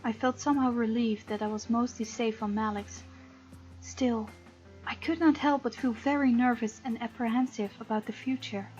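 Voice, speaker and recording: A woman's voice: a little high, fairly even intonation, moderate pace, darkish tone, dull audio. A woman let's-play host reading visual novel narration aloud expressively, with informal asides. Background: faint game music.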